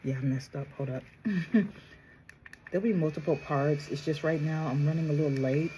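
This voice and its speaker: A woman vlogger chatting casually through a makeup tutorial, soft-spoken and trying to project with a sore, strained throat. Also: sits low, normal speed, a little hiss or hum, poor audio. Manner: chatty, addresses viewers directly, trails off and restarts. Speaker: beauty vlogger.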